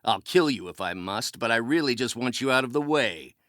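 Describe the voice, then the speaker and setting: Man acting as a theatrical brilliant scientist with lively swings. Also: mid-range voice, brisk, no room sound, clean studio sound, natural voice. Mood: coldly menacing. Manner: articulate, theatrical, professorial. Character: brilliant older male scientist, affable mentor turning bitter and menacing. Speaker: voice actor in a superhero video game. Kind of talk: dramatic video game voice acting.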